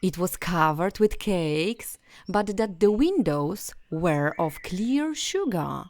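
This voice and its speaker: A woman narrating a bedtime fairy tale as a storyteller, in a gentle, soothing and expressive way. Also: fairly low pitch, slow pace.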